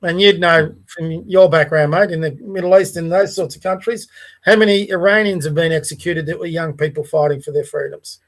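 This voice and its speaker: A man's voice: on the high side, a little fast, poor audio. A man with an Australian accent hosting a casual political podcast, talking earnestly with frequent restarts and asides. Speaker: podcast host.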